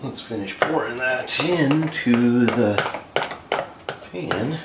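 A man in his thirties with a North American accent, giving a casual step-by-step cooking demonstration in a plain, halting way. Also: mid-range voice. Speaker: amateur cooking video host. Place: home kitchen, indoors. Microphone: camera microphone, a short distance away. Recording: roomy camcorder sound with clear noise.

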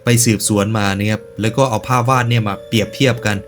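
A man's voice: fairly low pitch, steady tone, fast talker, soft background music. A man hosting a reaction video, commenting casually in slangy speech with joking asides and stretches of narration. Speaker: YouTube reaction host.

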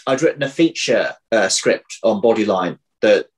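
A man in his thirties chatting casually as an interview guest over a video call, often pausing, repeating words and correcting himself as he thinks. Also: fairly low pitch, expressive. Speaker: interview guest, audio drama writer. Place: indoor room at home. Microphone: video call, computer mic.

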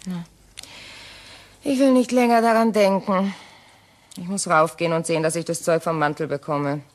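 An actress playing a shaken, wistful ageing widow in a radio crime drama, with halting, pause-filled delivery. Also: female voice, on the low side, steady tone, natural voice. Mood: evasive.

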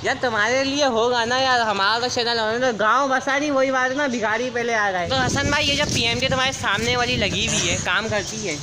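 A man's voice: very high voice, brisk, hardly any pauses. Teasing young man vlogging on the street. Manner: joking, teasing, questioning. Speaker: vlogger and host. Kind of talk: casual street vlog chat. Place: residential street, outdoors. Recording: noisy outdoor street recording. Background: vehicles and street noise.

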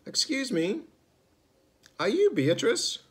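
Guy doing a little storybook robot kid, innocent and curious, pitched up, earnest questions. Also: wildly swooping pitch, slow, a bit bright, breathy edge, full character voice. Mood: eager, questioning.

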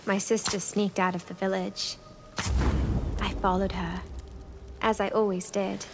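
A woman voicing a nervous young huntress in a video game, soft-spoken and hesitant. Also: mid-range voice, flat delivery, unhurried, background noise, lightly acted. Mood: narrating. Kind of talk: video game narration and dialogue.

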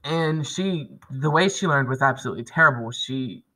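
A man's voice: medium pitch, moderate pace, sharp tone, rough recording. A man in his thirties teaching a reading lesson to children, explaining and reading aloud with emphasis. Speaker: elementary school teacher.

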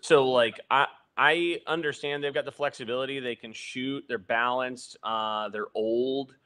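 A man's voice: mid-range voice, steady tone, measured pace, bright, forceful tone, clear, resonant tone. A young man co-hosting a casual postgame sports podcast over a video call, talking through the matchup in a rambling, analytical way with many fillers and restarts. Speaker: podcast co-host.

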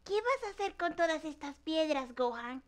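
A woman's voice: high voice, lively intonation, moderate pace, very noisy. A woman voicing an eager, naive little boy martial artist in an anime fan dub, with a childlike, innocent way of talking. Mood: eager, puzzled.

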